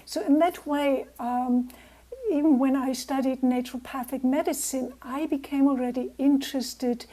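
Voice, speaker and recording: A woman in her sixties from Germany, with a German accent, speaking gently and reflectively as a guest speaker to a club. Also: high voice, steady tone, moderate pace. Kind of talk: personal talk to a club.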